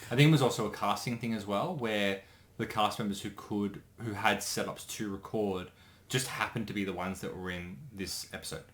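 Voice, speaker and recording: A man's voice: lowish voice, moderate pace, a bit bright. A man co-hosting a commentary who chats casually and often corrects himself mid-sentence. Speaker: co-host and voice actor.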